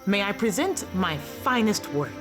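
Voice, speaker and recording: A woman's voice: medium pitch, sing-song, measured pace, music in the background. A woman playing a proud, regal fae goddess of fire and craft in a radio play, stately and declamatory. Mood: proud greeting. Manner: declamatory, stately, self-assured.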